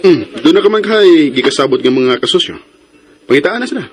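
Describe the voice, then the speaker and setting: A man's voice: a little high, lively intonation, a little fast, lightly acted. A man acting in a radio drama as a protective, easily irritated young suitor, with curt, challenging replies and courtesy toward women. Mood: guarded. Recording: noisy radio drama recording.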